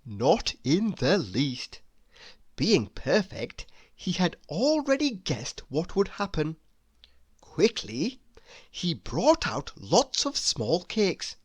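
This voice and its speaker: Woman storyteller, lowish pitch, very varied intonation, slow deliberate children's read-aloud, dry close sound. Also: huge pitch swings, slightly rough, no room sound. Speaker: storybook narrator.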